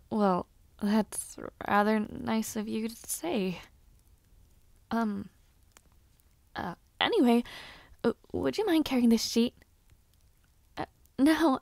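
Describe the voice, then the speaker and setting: A woman's voice: lowish voice, creaky, no room sound. A woman playing an eager, playfully bossy young noblewoman who hopes to be a knight, in an intimate audio roleplay, with formal old-fashioned phrasing and little hesitations. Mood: cheerful, bashful.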